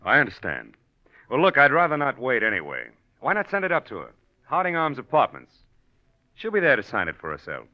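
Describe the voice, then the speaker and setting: Scheming husband in old radio drama. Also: male voice, mid-range voice, wildly swooping pitch, brisk. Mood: casual, evasive. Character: smooth-talking, scheming, impatient husband. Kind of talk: 1940s radio drama acting. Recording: dull lo-fi old radio recording.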